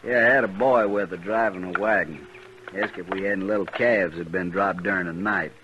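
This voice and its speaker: An actor playing a proud, embittered Texan cattle boss in a 1950s western radio drama, with a folksy, plain-spoken manner. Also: male voice, low-pitched, a little fast, close to an everyday voice. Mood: folksy, matter-of-fact. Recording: muffled, noisy vintage radio recording.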